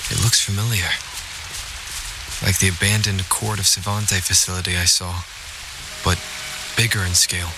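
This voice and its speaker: An actor playing a calm, curious young male wanderer in a video game, understated and even-tempered. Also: low voice, moderate pace, long pauses, background noise. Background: music.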